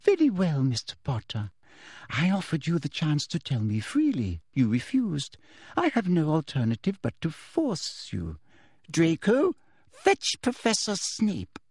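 A man voicing a falsely sweet, menacing female headmistress who turns shrill and girlish when angry, in a dry close recording. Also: wildly swooping pitch, unhurried, a little mellow, slightly rough, dry recording, full character voice.

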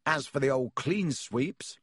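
A man's voice: mid-range voice, lively intonation, takes it slowly, slightly rough, no echo, studio quality. A man voicing a gloating older schoolboy team captain in an audiobook, careless, boastful and taunting.

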